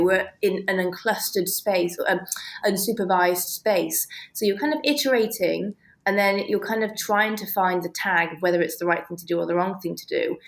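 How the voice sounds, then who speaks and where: A woman being interviewed, explaining ideas reflectively and haltingly as she thinks aloud. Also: lowish voice. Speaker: interview guest, author.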